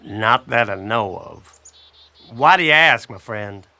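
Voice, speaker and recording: Man playing a lively, bright-voiced storybook blackbird. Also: fairly low pitch, takes it slowly, creaky, heavily acted. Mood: gentle. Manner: folksy, expressive, addresses listener directly. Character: friendly, wry male blackbird storyteller, chatty mentor. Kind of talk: animated children's audiobook storytelling.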